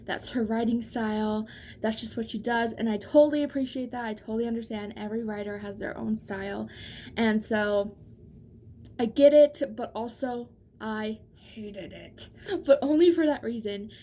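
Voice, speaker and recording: A woman's voice: a little high, steady tone, normal speed, warm tone, slight background noise. A woman book vlogger talking casually and emphatically straight to her viewers, in an informal, gushing way. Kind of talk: casual chatty book review to camera.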